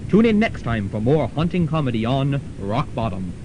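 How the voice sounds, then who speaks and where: A young man announces a radio serial in a dramatic, scripted style. His mid-pitched voice swings expressively, over clear rumbling noise from a college radio tape. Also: very animated, moderate pace. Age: young adult. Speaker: announcer, narrator.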